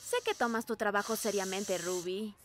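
A woman's voice: medium pitch, steady tone, normal speed, noisy background, natural voice. A woman voicing a kindly, reassuring schoolteacher rabbit in a children's cartoon dub.

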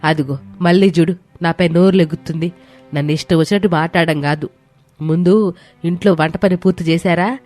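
A woman's voice: deep voice, darkish tone, lightly acted. A woman voicing an irritable elderly mother-in-law in a family story, nagging and sarcastic. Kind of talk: dramatized moral story voice acting. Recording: music behind the voice.

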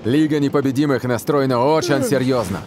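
A man's voice: medium pitch, fairly quick, darkish tone, slight background noise, close to an everyday voice. A man playing an easygoing, supportive footballer teammate in a cartoon dub. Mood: friendly advising.

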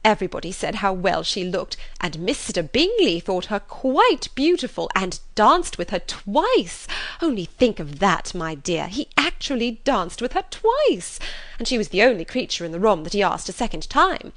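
A woman reading aloud, voicing an excitable, gushing middle-aged mother who talks in high, emphatic bursts. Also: moderate pace. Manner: breathless, effusive, high and emphatic.

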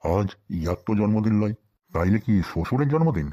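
A wily, tight-fisted cartoon husband played by a man with a low-pitched voice and a rural western Bengal accent. He talks in a folksy, wry way, always a bit henpecked. The voice track sounds dull and lo-fi. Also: expressive, fairly quick, warm tone. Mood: worried, grumbling. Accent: rural western Bengal Bengali dialect. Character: sly, penny-pinching henpecked husband in a comedy cartoon. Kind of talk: comic cartoon dialogue.

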